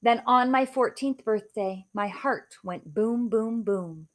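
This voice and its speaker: A woman's voice: a little high, slow pace. A woman reading a rhyming children's story aloud in a theatrical, emphatic way. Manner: theatrical, emphatic delivery of rhymes. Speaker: storybook reader.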